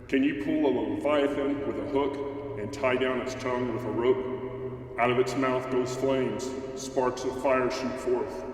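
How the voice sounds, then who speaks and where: A man playing a stern, all-powerful God in an amateur scripture drama, speaking in a solemn, commanding, deliberate way. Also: mid-range voice, fairly even intonation, natural voice. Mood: majestic, challenging. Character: God, stern all-powerful male deity rebuking mortals. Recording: very echoey hall, distant, faint noise.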